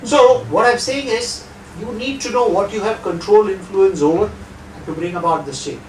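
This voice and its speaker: A man's voice: high-pitched, normal speed, a little mellow, slight background noise. A middle-aged man with an Indian accent giving a lecture and answering questions in a conversational way, with rhetorical asides. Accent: Indian English. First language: not Hindi. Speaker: guest lecturer. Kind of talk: lecture with audience Q&A. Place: lecture hall. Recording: echoey hall, distant mic.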